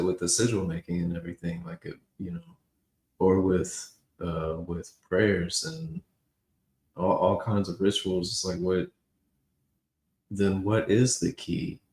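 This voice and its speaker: Male podcast guest, deep, slangy and halting; roomy echo on the recording. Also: darkish tone. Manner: halting, colloquial. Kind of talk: casual chat, slangy. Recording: roomy echo, faint background noise.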